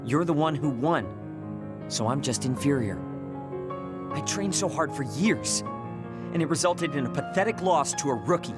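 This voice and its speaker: Prickly, higher-voiced young male soldier played by an actor. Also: lively intonation, moderate pace, pauses often, over loud music, lightly acted. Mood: bitter. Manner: irritable, defensive. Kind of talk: English video game voice acting.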